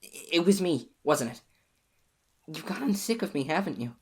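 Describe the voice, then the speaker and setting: A woman voicing an obsessive, clingy young lover in an audio roleplay, speaking softly and pleadingly in a husky, whispery voice to the listener. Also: deep voice, sing-song, moderate pace, full character voice. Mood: anxious pleading.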